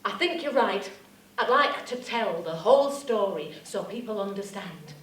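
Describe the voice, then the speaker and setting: An actress playing a plain-spoken, loyal working-class film assistant in a live radio theatre parody. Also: female voice, medium pitch, animated, normal speed, fairly bright tone, close to an everyday voice. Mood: earnest, reminiscing. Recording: echoey, faintly noisy live theatre recording, distant.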